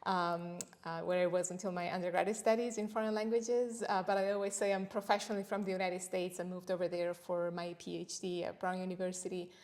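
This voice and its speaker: A middle-aged woman from Italy whose first language is Italian, speaking as a senior lecturer in an academic interview, measured and thoughtful, with frequent pauses. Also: lowish voice, level tone, darkish tone.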